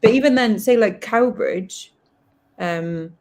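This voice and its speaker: A woman with a Cardiff Welsh accent, chatting casually as a guest in a lively, joking way. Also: mid-range voice, takes it slowly. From Wales. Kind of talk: casual chat.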